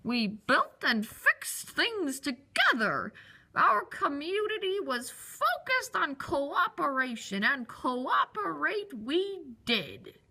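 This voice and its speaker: Woman playing an elderly grandmother, forgetful and rambling, high and lively. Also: slow, exaggerated voice. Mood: reminiscing. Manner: rambling, full of hesitations. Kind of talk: amateur skit acting.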